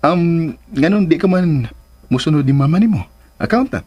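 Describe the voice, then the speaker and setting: Animated, husky Cebuano young man. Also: on the high side, moderate pace. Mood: surprised, teasing. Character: friendly, teasing young civil engineer. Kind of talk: Philippine radio drama acting.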